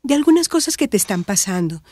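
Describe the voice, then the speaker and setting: A woman playing a calm, supportive counsellor in a radio drama, gentle, reassuring and explanatory. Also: fairly high pitch, fast, no echo.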